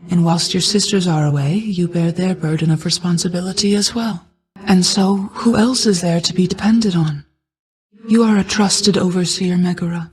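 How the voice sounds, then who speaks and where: An actress playing a stern yet caring motherly goddess of night in a video game, speaking in formal, measured, solemn lines. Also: mid-range voice, a little mellow, natural voice, rough recording. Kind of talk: scripted video game character dialogue, formal archaic speech.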